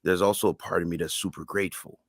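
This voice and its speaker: A man with a deep, low voice talks in English, though French is his first language. He speaks in an earnest, emphatic way, like an artist opening up in an interview. The sound is dry and close, with little room to it. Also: fairly even intonation, fast, no echo. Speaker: music artist guest. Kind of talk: casual interview conversation.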